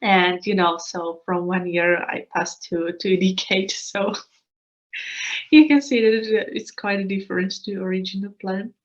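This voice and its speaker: Woman from Slovakia, 30s, English, halting interview guest, muffled call audio. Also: mid-range voice, steady tone. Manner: hesitant, many restarts and fillers, laughs often. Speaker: guest, expat coach. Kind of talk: casual interview answers. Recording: slightly dull lo-fi call audio.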